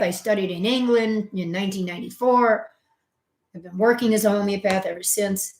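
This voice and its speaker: Chatty middle-aged woman in a roomy space. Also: medium pitch. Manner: chatty and conversational, many fillers and asides; expressive, swings from loud emphasis to soft asides. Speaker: homeopath hosting a health channel. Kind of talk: casual personal storytelling to camera. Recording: roomy echo.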